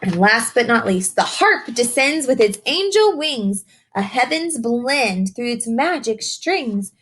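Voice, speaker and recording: A woman's voice: a little high, very animated, slow, fairly bright tone. A woman reading a picture book aloud to young children like a teacher, encouraging them with simple questions and chatty explanations.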